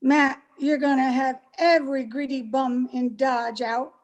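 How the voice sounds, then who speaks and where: An actress playing a sharp-tongued, protective Old West woman in a radio western, blunt and emphatic in manner. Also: high voice, steady tone, a little slow, muffled recording. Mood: alarmed, insistent.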